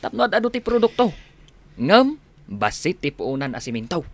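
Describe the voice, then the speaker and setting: An actor with a Filipino accent playing an eager, deferential worker who slyly cuts corners in a radio drama. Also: male voice, a little high, big pitch swings, normal speed. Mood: sly, suggesting. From Philippines. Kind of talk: Philippine radio drama acting.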